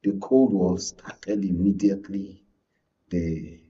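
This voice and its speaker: Nigerian guy analyst talking politics, husky voice, explains haltingly, sounds a bit far from the mic. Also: deep voice, fairly even intonation, mellow, highs cut off. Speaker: guest media analyst. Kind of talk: unscripted political commentary.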